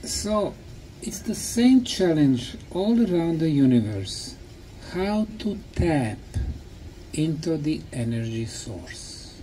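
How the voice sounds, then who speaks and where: A man, a native Bosnian speaker, giving a talk to a group in short, simple phrases with pauses for an interpreter. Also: on the high side, sing-song, mellow, a little hiss or hum, low-quality recording. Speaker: speaker, tour guide.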